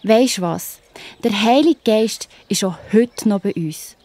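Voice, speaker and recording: A woman's voice: medium pitch, lively intonation, slow pace, a little mellow, dry recording. A woman with a Swiss accent narrating a children's story, speaking directly to the young listener with wonder.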